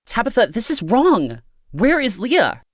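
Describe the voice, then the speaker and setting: A man's voice: medium pitch, animated, slow pace, dry recording, studio quality, pitched above the performer's own voice. A man voicing an anxious, timid young woman in an audiobook, with a trembling, hesitant and pleading delivery. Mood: distressed.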